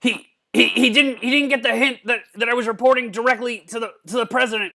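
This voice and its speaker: A man voicing a belligerent, drunken old mad scientist in a cartoon-style comedic reading, gravelly and stammering, repeating himself and cursing. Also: very high voice, fairly even intonation, quick pace, bright, forceful tone, exaggerated voice. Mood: agitated, boastful. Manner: stammering, repeating words, profane.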